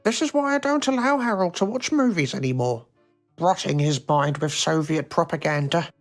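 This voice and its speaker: A woman's voice: low voice, expressive, normal speed, faint music behind. An actress playing a stern, controlling mother, prim and blithely callous.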